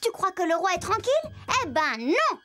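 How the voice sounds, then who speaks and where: A woman voicing a lively, indignant little girl who tells stories in a children's cartoon, chatty and expressive. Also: very high-pitched, moderate pace, faint music behind, full character voice. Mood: explaining.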